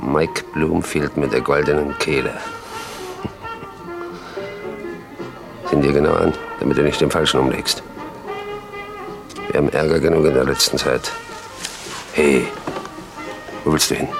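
Very deep gravelly gangster. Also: male voice, steady tone, moderate pace, pauses often, poor audio. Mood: wary, commanding. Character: sardonic, musing male gangster giving orders. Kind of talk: German crime radio drama acting. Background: music.

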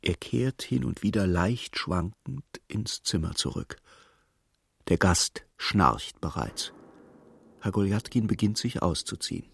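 A man narrating a literary radio drama as a measured, detached storyteller with dry irony. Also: low voice, expressive, creaky, a bit bright, husky, dry recording, full character voice. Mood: quietly wry.

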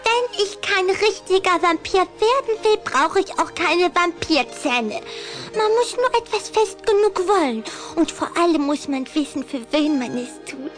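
A woman's voice: very high voice, animated, unhurried, soft background music. A woman playing a lovestruck young vampire girl in a German children's audio drama, coquettish, proud and emphatic. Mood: defiant, earnest. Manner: girlish, coquettish, emphatic delivery.

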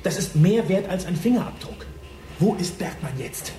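An actor playing an insistent, businesslike police colleague in a naturalistic German radio drama, urgent and matter-of-fact. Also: male voice, high voice, expressive, moderate pace, mellow. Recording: echoey room, faint noise.

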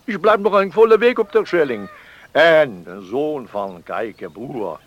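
An actor playing an old travelling peddler with a German accent, coaxing and effusive, in a radio drama. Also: male voice, fairly high pitch, lively intonation, unhurried, dull audio. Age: older. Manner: theatrical, coaxing, murmuring hums and exclamations.

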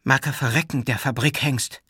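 A man's voice: mid-range voice, fairly even intonation, moderate pace, pushed and bright, husky, no echo, studio quality, pitched above the performer's own voice. A man voicing a spiteful, strict and devout woman with a scornful, sarcastic manner in an audiobook. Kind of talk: audiobook character acting.

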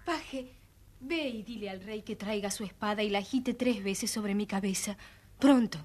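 An actress playing a gentle, wronged young fairytale queen, earnest and melodramatic, in a dramatized children's story. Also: medium pitch, moderate pace, background noise, natural voice. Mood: urgent.

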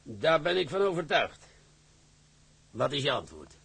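A stern older shipping director lays out his plans in a gravelly, husky voice. His delivery rises and falls in a lively way as he lectures a young sailor. It is radio drama, with a firm and authoritative manner. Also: on the high side, unhurried, full character voice. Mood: lecturing.

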